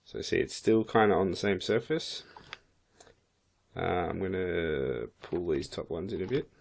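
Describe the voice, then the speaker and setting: Croaky man, very low voice, casual tutorial narration, keyboard clicks, faint noise. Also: a little slow. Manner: casual, thinking aloud while working. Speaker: 3D modeling instructor. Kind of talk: screen-recorded software tutorial.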